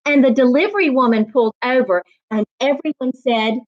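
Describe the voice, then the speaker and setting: A woman reading aloud and teaching as a storytime reading coach, with deliberate, emphatic phrasing meant for young listeners. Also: high-pitched, dull audio. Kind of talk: expressive children's read-aloud and teaching.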